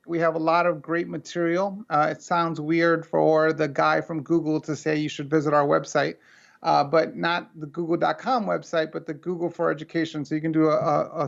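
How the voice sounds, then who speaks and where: An American man speaks over a video call, his voice strongly gravelly yet pitched a little high. The call audio is muffled and lo-fi. He talks to the audience in an earnest, conversational way. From United States. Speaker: keynote speaker. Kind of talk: remote keynote talk.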